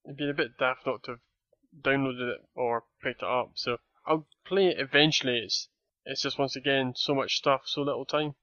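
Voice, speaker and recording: A man joins a casual podcast chat over a dull, lo-fi line. His voice is bright and sits at a middle pitch. He speaks in a measured, wry way. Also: fairly even intonation, no echo.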